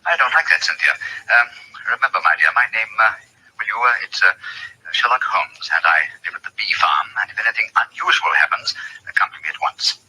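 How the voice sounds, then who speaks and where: A middle-aged detective turned bee farmer in a radio play. He has a hard bright edge and a rough, grainy tone. His phrases are precise, eloquent and quickly delivered, on a thin old broadcast recording. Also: on the low side, expressive, exaggerated voice, low-quality recording. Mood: uneasy, concerned. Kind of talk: old-time radio drama acting.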